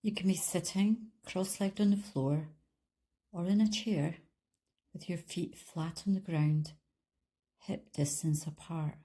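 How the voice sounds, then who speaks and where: Female meditation guide, lowish pitch and very slow delivery, calm and soothing, with generous pauses. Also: slightly rough. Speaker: meditation guide, life coach. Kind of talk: guided body scan meditation, instructional.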